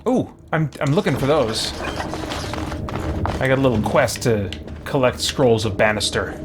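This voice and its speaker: A man gives casual live game commentary in a mid-range voice. He rambles and thinks aloud, and game music is clearly audible behind him. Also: medium pitch, expressive, a little slow. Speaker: game streamer.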